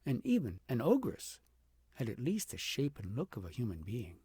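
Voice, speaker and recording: A man with a husky, creaky voice reads a storybook aloud in an expressive, deliberate way. His pitch sits in a middle male range, and he leans on key words, then eases into softer phrases. The recording is close and dry. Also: no echo. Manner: dramatic, deliberate phrasing with emphatic swells and hushed drops. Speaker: audiobook narrator reading a fairy tale aloud.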